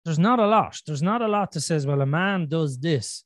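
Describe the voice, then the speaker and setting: A man hosts a casual podcast, working his points out aloud with restarts and lots of "you know". His voice sits a little higher than most men's. The recording is dry and close, with no room sound. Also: animated, normal speed, no room sound. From Ireland. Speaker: podcast host. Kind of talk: casual conversational podcast chat.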